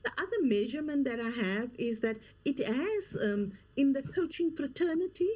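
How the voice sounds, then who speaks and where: Female guest, middle-aged, slightly high register, earnest and emphatic. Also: lively intonation, normal speed, darkish tone. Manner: earnest, emphatic, repeats key phrases. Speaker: guest, leadership coach and author. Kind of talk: interview conversation.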